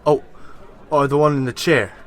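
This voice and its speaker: A man's voice: medium pitch, fairly even intonation, moderate pace, natural voice. A man playing a goofy, boozy, needling barfly in an old-style radio comedy, speaking in period slang. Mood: dim, amused. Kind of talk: 1940s radio acting.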